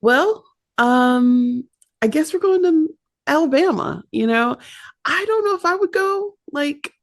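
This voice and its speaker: A woman's voice: high voice, lively intonation, unhurried. A woman with a Midwestern accent, co-hosting a casual pop-culture podcast and laughing often. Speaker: podcast co-host.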